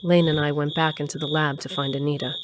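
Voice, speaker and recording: A composed female lab worker, played by an actress, tells her story in first person. Her voice is fairly low, in audio drama narration over loud background noise. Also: level tone, fast, close to an everyday voice. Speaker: narrator and character.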